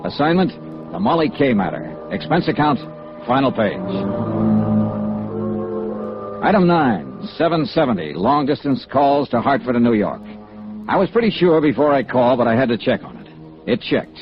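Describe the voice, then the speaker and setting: An actor playing a tough, world-weary insurance investigator in 1950s radio noir style, confident and clipped in speech and narration. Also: male voice, medium pitch, sing-song, moderate pace, music in the background, close to an everyday voice. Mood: brisk, businesslike. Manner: hard-boiled, confident, clipped. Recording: dull, noisy old radio recording.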